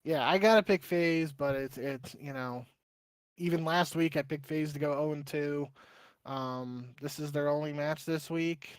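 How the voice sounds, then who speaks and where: A man's voice: fairly high pitch, a little slow, clean tone, no echo. A man co-hosting a livestreamed podcast in casual, chatty talk, poking fun at his own predictions. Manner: chatty, self-deprecating about his picks. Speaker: podcast co-host.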